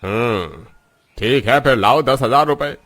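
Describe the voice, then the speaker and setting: A man's voice: mid-range voice, measured pace, a bit bright, natural voice. A man voicing a scheming, greedy rich moneylender in a cartoon moral story. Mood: sly, smug.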